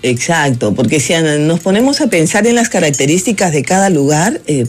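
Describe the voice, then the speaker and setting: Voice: mid-range voice, big pitch swings, a little fast. An interview host, speaking effusively and admiringly to a guest. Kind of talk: conversational interview.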